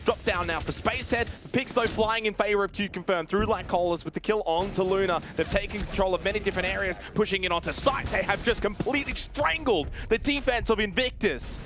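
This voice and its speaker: A man's voice: high-pitched, fairly quick, hardly any pauses, over music. A man giving live play-by-play commentary as an esports caster.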